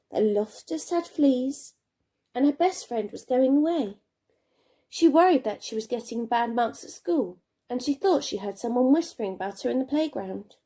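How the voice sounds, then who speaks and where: A woman reading a children's storybook aloud like a primary teacher, expressively, with dramatic pauses and emphasis. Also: fairly high pitch, warm tone.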